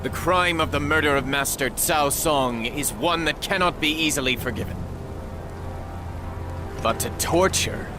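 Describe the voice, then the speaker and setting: Man playing a higher-voiced noble, earnest warlord. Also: a little slow, close to an everyday voice. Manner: earnest, formal. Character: noble, humble, idealistic warlord of ancient China. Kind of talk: video game dramatic acting. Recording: voice under loud music.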